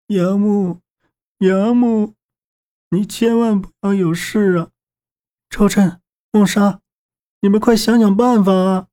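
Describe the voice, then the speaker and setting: A man doing a high, distraught young woman. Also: steady tone, extremely slow, long pauses, a bit bright. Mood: tearful, desperate. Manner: choked, urgent pleading. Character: distraught, tearful young woman pleading for help. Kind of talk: audiobook character voice.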